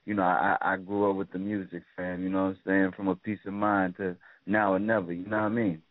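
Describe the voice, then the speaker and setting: A deep-voiced man from the American north talks quickly and flatly on a narrow phone line. He is a casual, admiring caller full of slangy tags. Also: no room sound. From northern United States. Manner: slangy, admiring, frequent "you know" tags. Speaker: fan calling in to a radio show. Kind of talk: casual chat. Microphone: phone call. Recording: dry, tinny phone line.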